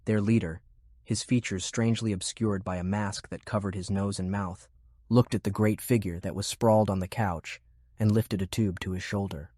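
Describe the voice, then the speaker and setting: Low-pitched man narrating an audiobook. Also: steady tone, normal speed, dark tone, no room sound, studio quality. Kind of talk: scripted narration of military fantasy fiction.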